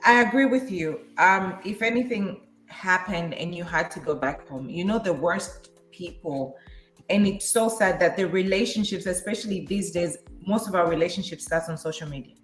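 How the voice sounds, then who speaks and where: A woman in her forties chats on a talk show, agreeing readily and laughing easily. Her voice sounds roomy and echoey. Soft music sits in the background. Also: fairly low pitch, moderate pace. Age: 40s. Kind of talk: casual talk show chat.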